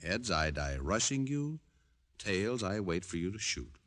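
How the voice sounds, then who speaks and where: An actor playing a wry, cool-headed hard-boiled private detective in an old radio crime drama. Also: male voice, low voice, sing-song, measured pace, a bit bright, no echo, studio quality, exaggerated voice. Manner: wry, sardonic, breezy. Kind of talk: 1940s-50s radio detective acting, dialogue and narration. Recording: old radio broadcast recording.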